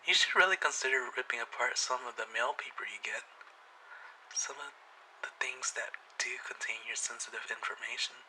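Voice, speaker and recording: A man playing an obsessive, softly menacing young male captor in an intimate, often whispered ASMR roleplay. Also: medium pitch, normal speed, a little hiss or hum, low-quality recording. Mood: teasing, smug.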